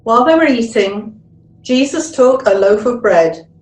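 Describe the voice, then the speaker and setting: A woman narrates scripture as a lay reader. Her voice is a little high, measured and solemn, with clear phrasing. The room rings with noticeable echo. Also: expressive. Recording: echoey room.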